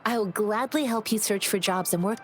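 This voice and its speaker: Serene principled young wanderer voiced by a woman, measured delivery, mid pitch, music underneath. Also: lightly acted. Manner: serene, measured, speaks in proverbs. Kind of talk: video game voice acting.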